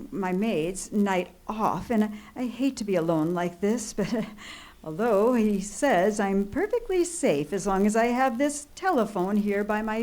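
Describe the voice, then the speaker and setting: An actress playing an anxious, imperious bedridden married woman in a radio suspense play, flustered, halting and indignant. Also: medium pitch, mellow, natural voice. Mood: exasperated, anxious. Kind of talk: old-time radio suspense play acting. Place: live theatre stage.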